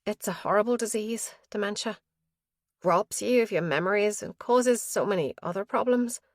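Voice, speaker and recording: A woman's voice: medium pitch, normal speed, no room sound, studio quality, voice pushed up, natural voice. A woman narrator voicing an anxious adult daughter who sounds strained and pleading. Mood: subdued.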